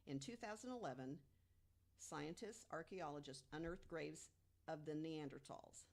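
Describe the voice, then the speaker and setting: A woman with a low voice talks through researched material on a casual podcast. She is emphatic and deliberate, often setting up points with rhetorical questions. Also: creaky, darkish tone. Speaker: podcast guest panelist. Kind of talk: informal podcast storytelling from research notes.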